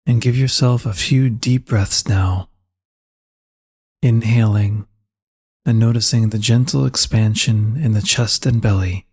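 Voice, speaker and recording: A man leading a slow guided meditation in a calm, soothing and unhurried manner, with a soft husky voice. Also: fairly low pitch.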